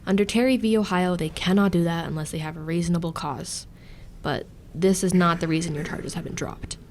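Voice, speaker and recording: A woman's voice: fairly low pitch, steady tone, a little fast, background noise, lightly acted. A woman playing a businesslike defense lawyer, formal and precise, in an audio drama.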